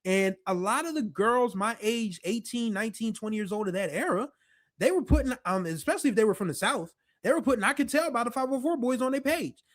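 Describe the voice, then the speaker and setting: A man in his forties hosting a podcast, talking in a relaxed, rambling way full of fillers. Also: brisk. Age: 40s. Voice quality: deeper voice. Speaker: podcast host. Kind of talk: casual nostalgic monologue.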